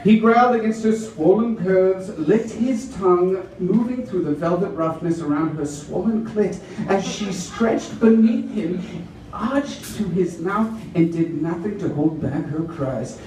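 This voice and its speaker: A man's voice: sits high, dark tone. Theatrical man reading in an echoey room. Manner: theatrical, drawn-out delivery. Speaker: reader at a live dramatic reading. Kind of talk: mock-dramatic reading of erotic romance fiction. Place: roomy venue with a live audience. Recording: echoey room from far off, audience noise.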